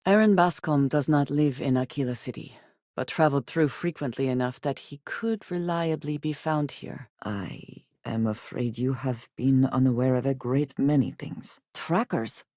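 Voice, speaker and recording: Guarded female space adventurer, solemn, formal measured phrasing, video game dialogue, dry studio sound. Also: rumbling low, warm tone, no echo. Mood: calm, thoughtful. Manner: formal, measured speech without contractions. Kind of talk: scripted video game voice acting.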